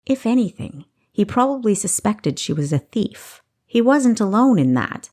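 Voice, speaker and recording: A woman reading a fantasy story as scripted narration, with an expressive, measured delivery. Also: mid-range voice. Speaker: audiobook narrator.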